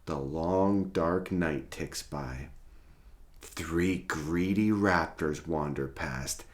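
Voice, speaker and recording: A man reading a children's storybook aloud as host, with expressive, dramatic delivery and drawn-out key words. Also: very deep voice.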